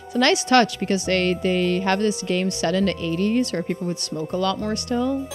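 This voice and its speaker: A woman's voice: medium pitch, normal speed, soft background music. A woman game streamer giving casual commentary as she plays.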